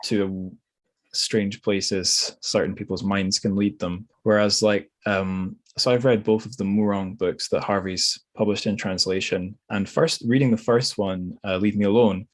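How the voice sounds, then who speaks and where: A man's voice: lowish voice, fairly even intonation, unhurried. A man hosting a podcast, talking casually and tentatively about books as he thinks aloud. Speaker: podcast host. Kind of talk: casual book discussion.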